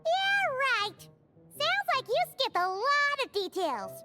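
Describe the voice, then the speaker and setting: A woman's voice: extremely high pitch, big pitch swings, slow pace, over music, exaggerated voice. A woman voicing a small, childlike, curious fairy companion in an anime game. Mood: puzzled curiosity. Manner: exclaiming, blunt, inquisitive.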